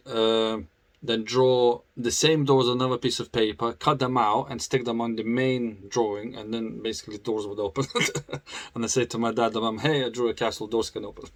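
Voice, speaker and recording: A man's voice: medium pitch, steady tone, normal speed, no echo. A man with a foreign accent who grew up in the UK, chatting casually to camera as a motorcycle vlogger, laughing often and restarting his sentences.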